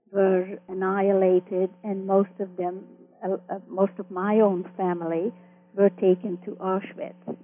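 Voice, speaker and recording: An older woman from Hungary, a native Hungarian speaker who later lived in Canada, recounting her life as an interview guest. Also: medium pitch. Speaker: interview guest, mental health counselor. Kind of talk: recounting personal history in an interview. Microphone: telephone. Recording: tinny narrow telephone line.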